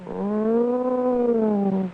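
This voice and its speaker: A man's voice: very high voice, steady tone, very slow, exaggerated voice. An actor playing a cocksure, colloquial working man as a witness in a 1950s radio drama. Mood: folksy, cocksure. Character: cocksure working male witness, Mister Jacobs. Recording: tinny, noisy 1950s radio recording.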